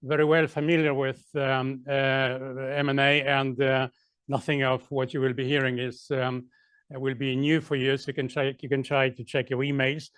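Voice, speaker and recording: A man, a lawyer giving a seminar talk, halting with many fillers and dry asides. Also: medium pitch, level tone, a bit bright, clear, resonant tone, dry recording.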